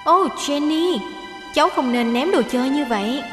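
A woman playing a kindly young female mechanic in a children's cartoon dub, polite and gently instructive, like a caring big sister. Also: sits high, normal speed, faint music behind, natural voice. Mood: puzzled, caring.

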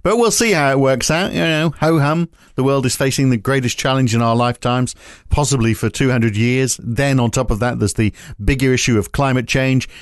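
A man's voice: mid-range voice, brisk, dry recording, studio quality. Wry man hosting a podcast. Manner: wry, sardonic, conversational. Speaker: podcast host. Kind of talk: opinionated commentary and interview.

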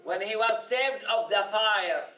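A man's voice: high voice, measured pace, bright, forceful tone, slight background noise. A middle-aged man, a native Arabic speaker, giving a classroom lecture in English and often checking in with "okay?" and "yani". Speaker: religious teacher. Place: classroom with whiteboard. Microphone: lapel mic. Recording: echoey room, from a distance.

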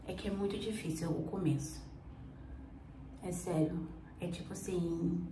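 Chatty young woman with a cold, roomy sound. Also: mid-range voice. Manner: chatty, rambling, self-questioning. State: has a cold. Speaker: vlogger. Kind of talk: casual chat while doing makeup. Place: small indoor room. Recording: roomy, slightly distant mic, faint hum.

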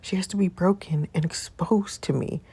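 A woman's voice: fairly low pitch, normal speed, vocal fry, dark tone, husky, no room sound, exaggerated voice. A woman voicing a fierce, protective mother scolding her grown son, indignant and reproachful, in a dramatic story reading. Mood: bitter reproach.

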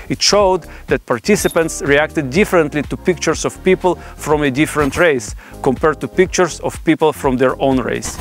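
A man's voice: medium pitch, a bit bright. A man in his forties gives a scripted explainer in a formal, academic manner, speaking as a presenter. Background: background music.

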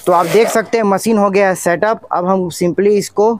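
A man's voice: high voice, fairly even intonation, moderate pace, background noise. A young man narrates a product demonstration vlog in a repetitive, instructional manner. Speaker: tech review channel host.

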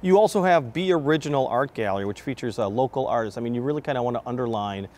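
A man's voice: medium pitch, expressive, very fast, a little mellow. A middle-aged man with an American accent hosting a broadcast interview, putting questions to a guest. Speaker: TV host and interviewer. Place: large exhibition hall, indoors.

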